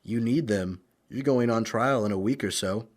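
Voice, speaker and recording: A man's voice: medium pitch, moderate pace, close to an everyday voice. A man playing a confident masked vigilante in an old-time radio crime drama, clipped, sly and commanding.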